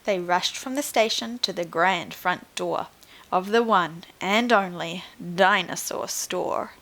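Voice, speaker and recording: A woman reading a picture book to young children like a primary teacher, in an expressive, deliberate way. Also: fairly low pitch, rough recording. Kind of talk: scripted storybook narration for young children.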